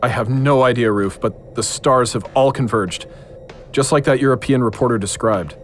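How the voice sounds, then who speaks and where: A man's voice: mid-range voice, moderate pace, a little hiss or hum, natural voice. An actor playing a bewildered, weary male investigator in a horror audio drama, uncertain and conciliatory.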